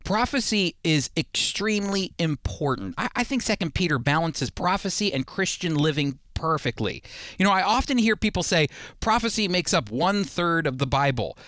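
A man's voice: a little high, expressive, normal speed, a bit bright, no echo, clean studio sound. A man hosting a radio program, teaching the Bible in a conversational, earnest and emphatic way, repeating phrases for emphasis.

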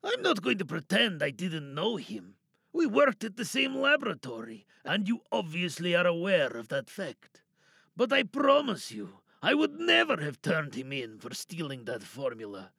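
A man playing a cynical, wary captive scientist in an audio drama monologue, sardonic and probing, bargaining with quiet menace. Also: fairly high pitch, wildly swooping pitch, moderate pace, vocal fry, slightly rough, no room sound, full character voice. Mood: wry, defensive. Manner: sardonic, probing, rhetorical questions.

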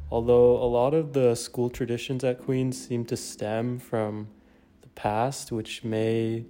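A man's voice: medium pitch, warm tone. A young man from Ontario with a Canadian accent, a student guest in a casual podcast discussion. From Burlington, Ontario, Canada. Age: young adult.

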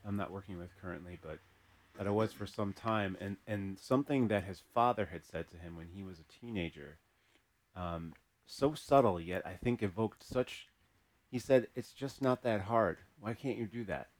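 A man hosting a podcast in casual conversation, haltingly searching for his words. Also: fairly low pitch. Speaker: podcast host.

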